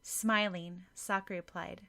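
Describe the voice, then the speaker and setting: A woman's voice: fairly low pitch, fairly even intonation, measured pace, vocal fry, sharp tone. A woman reading a story as a scripted audiobook narrator.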